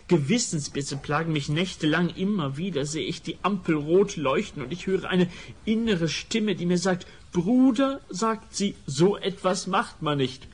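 Timid, highish-voiced sociologist. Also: male voice, husky. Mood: anxious confession. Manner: anxious, over-earnest, flustered. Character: timid, squeamish, sentimental male sociologist, guilt-ridden pacifist. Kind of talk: comic radio play acting.

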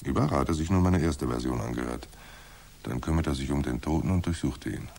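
A hardboiled private eye in a radio drama, with a very low, rough-edged voice. He is laconic and wry, telling his case and trading lines in a dry deadpan. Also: brisk, heavily acted. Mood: dry, observant. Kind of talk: hardboiled radio play narration and dialogue.

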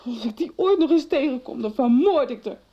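A woman's voice: high-pitched, animated, fairly quick, muffled recording. An actress in a 1990s radio soap playing a blunt, resentful young woman. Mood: spiteful.

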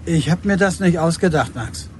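An actor playing a dutiful, stubborn official in a radio drama, speaking stiffly in clipped, insistent phrases. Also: male voice, on the high side, expressive, moderate pace, slight background noise, lightly acted. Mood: officious. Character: dutiful, stubborn male official delivering orders.

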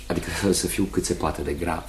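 An actor playing a kindly, nervous young fiancé in a Romanian radio play, earnest and hesitant, often repeating himself. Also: male voice, sits low, steady tone, a little hiss or hum, lightly acted. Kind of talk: Romanian radio theatre acting.

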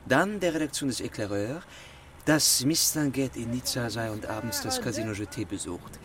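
An actor playing a cool, world-weary 1920s gentleman swindler in a radio play, clipped, imperious and dryly ironic. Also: medium pitch, moderate pace, background noise, close to an everyday voice. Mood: smug, explaining.

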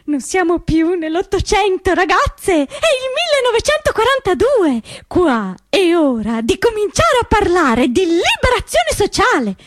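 A woman's voice: very high-pitched, big pitch swings, measured pace, hardly any pauses, dry recording, studio quality, voice pushed up, full character voice. An actress playing a zealous communist woman who lectures girls on emancipation in a didactic, declamatory stage manner. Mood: fervent, instructive. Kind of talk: theatrical monologue on stage.

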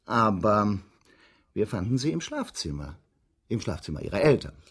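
An actor in a German radio drama playing a police inspector who probes a suspect with short, prompting questions in a husky voice. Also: male voice, on the low side, big pitch swings, normal speed, exaggerated voice. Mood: puzzled, probing.

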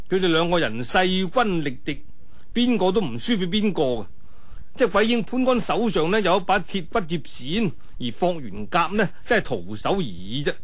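A high-voiced Cantonese man narrates an action tale as a traditional storyteller. His delivery swings widely in pitch, colloquial and dramatic, built for vivid fight scenes. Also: a bit bright. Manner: dramatic, colloquial, with spoken sound effects and interjections.

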